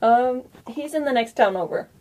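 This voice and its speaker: A woman with a fairly high voice leads a tabletop roleplaying session as game master. She describes the setting and puts questions to players in casual, plain conversational language. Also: normal speed, a little mellow.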